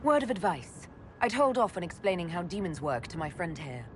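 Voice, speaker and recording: Composed, low-voiced mage leader. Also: female voice, big pitch swings, a little fast, slight background noise. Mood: confident. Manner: composed, direct. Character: composed female elven mage leader, dry and supportive. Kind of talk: video game dialogue.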